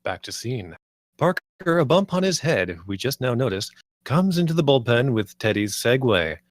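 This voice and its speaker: A man reads screenplay directions as scripted narration. His voice is mid-pitched, and the sound is close and dry with no room on it. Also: sing-song, normal speed, dry recording. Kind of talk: scripted narration at a table read.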